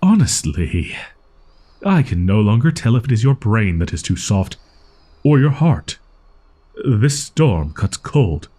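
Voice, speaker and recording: A man's voice: mid-range voice, dark tone, slightly rough, heavily acted. A man voicing a proud, easily flustered young wolf-boy in an audio roleplay, speaking in haughty, archaic, formal phrasing.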